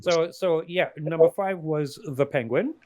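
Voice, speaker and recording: A man's voice: fairly high pitch, measured pace, a little mellow, no room sound. A man hosting a casual panel chat, giving his opinions in an emphatic, exasperated, ranting way. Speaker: podcast host giving a ranked list.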